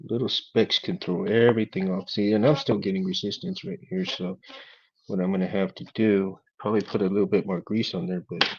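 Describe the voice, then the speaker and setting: A man with a monotone voice, chatting casually and quietly as he works on a hobby build. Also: sits low, a little fast, slightly rough, muffled recording. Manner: laid-back, talks quietly while working. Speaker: hobby craft vlogger. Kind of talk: casual working chat while building.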